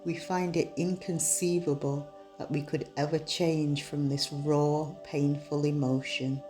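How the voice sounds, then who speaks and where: A woman guiding a meditation in a soothing, measured, unhurried manner. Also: deep voice, little pitch movement. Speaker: meditation guide. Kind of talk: guided meditation narration. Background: soft soothing music.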